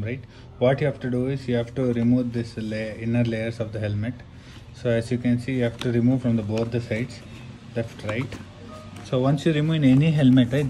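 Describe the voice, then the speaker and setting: A man's voice: medium pitch, dark tone. A man with an Indian accent giving a casual hands-on how-to, explaining step by step and often checking in with "right". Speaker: tutorial presenter. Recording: clear background noise and room ambience.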